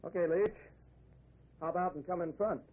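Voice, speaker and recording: An actor playing a nervous driver trying to stay calm in an old-time radio drama, halting and stammering. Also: male voice, high-pitched, phone-quality sound, natural voice. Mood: forced casual. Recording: noisy, thin old radio recording.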